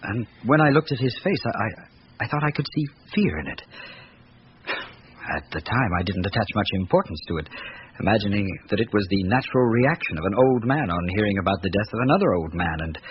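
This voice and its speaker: A man's voice: on the low side, expressive, brisk, natural voice. An actor playing an earnest, well-bred young grandson of an aristocratic family, reflective and hesitant as he gives his account in a radio drama. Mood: troubled, reflective. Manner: reflective, articulate, hesitant in recalling. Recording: muffled, noisy old radio recording.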